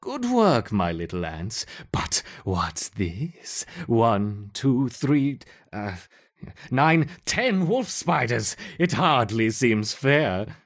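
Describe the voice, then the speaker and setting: A man playing a gleefully sadistic scientist in a video game, theatrical and savoring, with mock innocence and a husky voice. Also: medium pitch, big pitch swings, drawn-out words, exaggerated voice. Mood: gleeful, mock-dismayed. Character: gleefully sadistic male scientist running ant experiments. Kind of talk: video game character acting.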